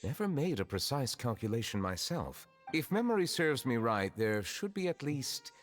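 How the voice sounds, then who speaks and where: A man's voice: mid-range voice, big pitch swings, natural voice. A man playing a composed, courteous, centuries-old chief justice in a video game, speaking formally and precisely. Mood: polite, formal. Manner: formal, measured, precise phrasing. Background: game music.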